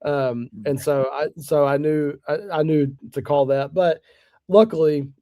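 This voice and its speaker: A man's voice: fairly high pitch, steady tone, a little slow, mellow, no echo, clean studio sound. A man who works as a sports announcer, talking casually as an interview guest in an easygoing, modest way.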